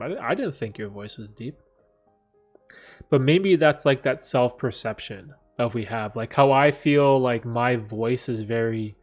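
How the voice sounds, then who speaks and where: A man hosting a casual livestream Q&A from a room with a bookcase, rambling with many fillers and restarts. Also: mid-range voice. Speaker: livestream host answering viewer questions. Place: room at home with a bookcase behind him.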